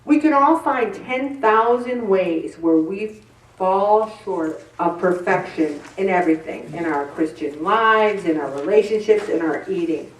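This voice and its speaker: A woman's voice: mid-range voice, expressive, measured pace. A middle-aged woman giving a candid, self-deprecating faith-based talk to a group, acting out her own inner voices. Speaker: group speaker. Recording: roomy echo from some distance.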